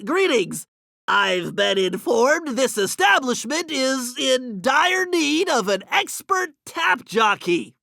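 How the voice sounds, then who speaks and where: A man voicing a nerdy, brainy and anxious turtle strategist in an animated video game, with a nasal voice and precise, earnest, wordy delivery. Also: very high-pitched, slow, creaky, dry recording, studio quality, exaggerated voice. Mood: eager, focused.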